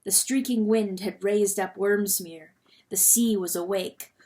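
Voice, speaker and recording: A woman's voice: mid-range voice, unhurried. Woman narrating an animal tale dramatically. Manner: expressive, suspenseful delivery. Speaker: audiobook reader.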